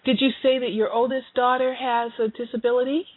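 A woman's voice: on the high side, level tone, brisk, breathy edge, dry recording. A woman host asks long, wandering questions, often restarting as she searches for words. The sound is dry and thin, like a phone line. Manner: rambling, stumbling, searching for words. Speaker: radio host. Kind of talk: interview questions.

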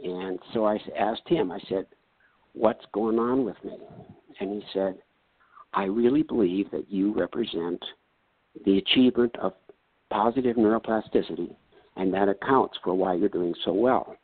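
An older man from North Dakota tells his story in a low, husky voice with a gravelly edge. He talks plainly and earnestly and acts out the exchanges he recounts. The sound is thin and phone-like, with no room around it. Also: normal speed, no room sound. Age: 70s. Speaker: retired doctor. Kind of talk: personal story, casual talk. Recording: tinny phone-like line, dry.

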